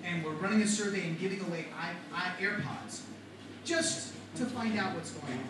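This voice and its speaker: Middle-aged guy teaching a class, high-ish voice, super echoey room, mic way off. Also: moderate pace. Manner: animated, talks to the class with questions. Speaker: writing and rhetoric teacher. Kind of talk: classroom lecture. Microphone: far from the mic. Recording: echoey classroom from far off, noisy.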